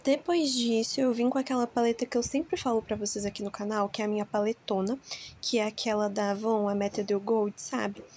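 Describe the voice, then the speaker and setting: A young Brazilian woman with a slightly hoarse, nasal voice from a cold, chatting casually to viewers as she narrates a makeup tutorial. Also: medium pitch, steady tone, a little fast, darkish tone. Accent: Brazilian Portuguese. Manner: chatty, informal, talks straight to viewers. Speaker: makeup vlogger.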